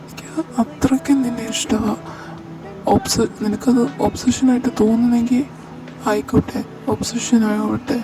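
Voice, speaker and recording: A woman voicing an intense, possessive young man who confesses his love in a soft, halting voice. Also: a little high, steady tone, close to an everyday voice. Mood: tender. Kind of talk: amateur audio drama. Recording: distant mic over clear music.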